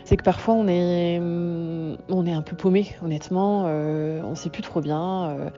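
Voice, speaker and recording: A woman giving a candid, halting personal testimony full of fillers; French is not her first language. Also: on the low side, steady tone, dark tone, soft background music. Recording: dull lo-fi sound.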